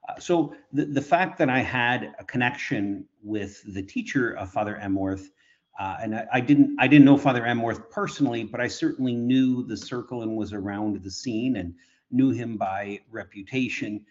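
A man from Canada, a priest guest, answering in a measured way with frequent restarts. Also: medium pitch. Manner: measured, restarts words often. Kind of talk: remote interview answers. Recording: dull lo-fi remote audio.

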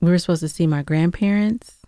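A woman's voice: on the low side, a little fast, dark tone, no echo, studio quality, soft background music. A woman co-hosting a podcast in casual banter, sassy and playfully argumentative. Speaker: podcast co-host.

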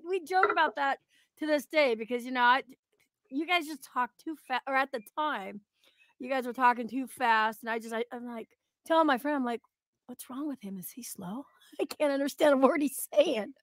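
A woman's voice: high-pitched, animated, normal speed, no room sound. A middle-aged American woman from Oregon, a podcast guest chatting casually in a giggly, joking manner. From Oregon, United States. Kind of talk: casual chat.

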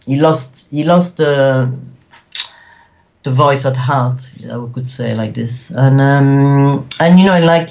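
A man's voice: medium pitch, darkish tone, dull audio. Belgian French-accented man, earnest and rambling. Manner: earnest, rambling, many fillers. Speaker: guest actor. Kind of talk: casual interview.

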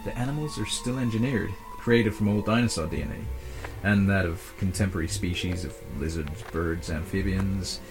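A low-voiced, earnest man of science played by an actor explains his work politely and with care. It is audio drama dialogue, measured in delivery, with music clearly playing underneath. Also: rough recording. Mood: measured, explanatory. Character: earnest male genetic scientist defending his dinosaur work.

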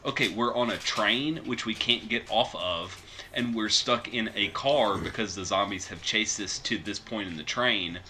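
A man hosting a casual film podcast, with a lowish voice but a very bright, sharp tone. Faint noise sits behind him. He moves the talk along in a relaxed way. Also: normal speed. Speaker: podcast host.

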